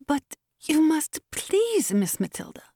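A woman narrator plays a long-suffering household servant from a storybook. The voice rises high and stretches out into insistent pleading, with a soft, mellow tone in a dry recording. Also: very animated, slow, dry recording, heavily acted.

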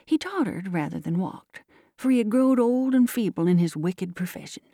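A woman's voice: medium pitch, expressive, moderate pace, dark tone, no echo, clean studio sound. A woman voicing a folksy old-time rustic storyteller in country dialect, drawing out a yarn about the neighbors. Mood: solemn, mock-tragic.

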